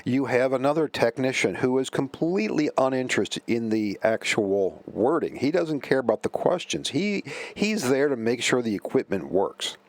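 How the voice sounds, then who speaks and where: A man's voice: mid-range voice, lively intonation, normal speed, hardly any pauses. A middle-aged man hosting a vintage computer talk, chatting casually and rambling with fillers and self-corrections. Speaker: vintage computer channel host. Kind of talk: casual commentary.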